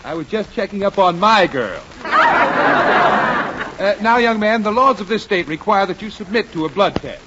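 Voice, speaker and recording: An actor playing a fussy, wisecracking, officious clerk in a 1940s radio comedy. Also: male voice, high voice, huge pitch swings, unhurried, long pauses, heavily acted. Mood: officious, dry. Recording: dull, noisy 1940s radio recording.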